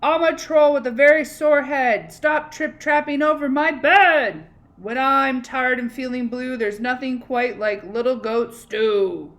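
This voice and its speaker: Woman acting out a loud, grumpy storybook troll. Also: high-pitched, voice pushed up. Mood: angry, menacing. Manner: loud, menacing, drawn-out rhyming threats. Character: grumpy, sleep-deprived troll threatening goats in rhyme.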